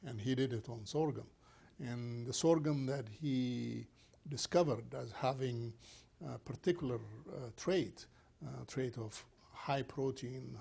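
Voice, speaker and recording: An older man from Ethiopia, a scientist answering interview questions in a measured, thoughtful way with many fillers, recorded on a lapel mic in a small studio. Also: medium pitch, creaky, darkish tone, slightly rough. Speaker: plant scientist guest. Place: small studio set indoors.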